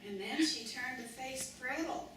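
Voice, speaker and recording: A woman's voice: sits high, measured pace. A woman narrating a children's fairy tale live as the storyteller of a puppet show. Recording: echoey noisy room, distant mic.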